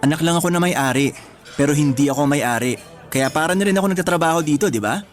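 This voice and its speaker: An actor playing a gentle, sincere young man in love in a Filipino radio romance, earnest and lightly teasing. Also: a little high, fast, close to an everyday voice. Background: music.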